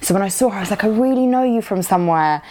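A woman's voice: mid-range voice, expressive, rapid-fire, darkish tone, a little hiss or hum. A woman actor chatting as an interview guest, rambling and giggling, with lots of fillers. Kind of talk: casual interview chat.

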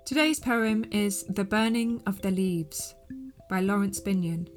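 A woman's voice: medium pitch, unhurried. A woman reading a scripted podcast introduction as its presenter. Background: music.

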